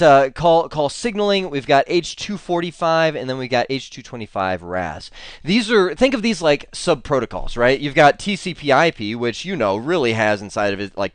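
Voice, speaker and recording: A man's voice: mid-range voice, clear, resonant tone, no room sound. A man with a stuffy cold giving a chatty screencast tech lesson, full of fillers and bursts of enthusiasm. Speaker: instructor.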